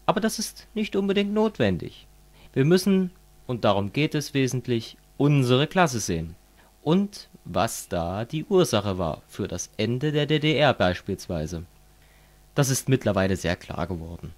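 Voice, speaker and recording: A man's voice: mid-range voice, very animated, a little slow, a little mellow. A man playing a fervent communist who lectures a friend in a didactic, declamatory way, as in an amateur radio play. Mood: lecturing.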